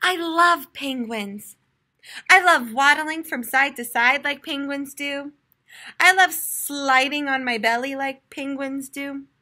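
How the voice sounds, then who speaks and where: A woman's voice: high-pitched, takes it slowly, pushed and bright, voice pushed up, full character voice. A woman voicing an eager, proud little boy turtle for a storybook, with emphatic, childlike delivery.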